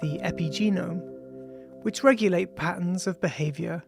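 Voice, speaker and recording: An author of unclear gender reads their own scientific audiobook in a measured way, speaking punctuation aloud. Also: mid-range voice, creaky. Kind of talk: audiobook reading of a scientific text. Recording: music behind the voice. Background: ambient music with bell-like tones.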